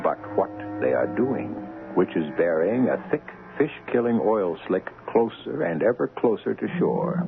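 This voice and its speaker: A man hosting and narrating a 1970s radio mystery in a wry, theatrical, deliberate style. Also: low voice, gravelly. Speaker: host and narrator. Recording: narrow-band old radio with loud music.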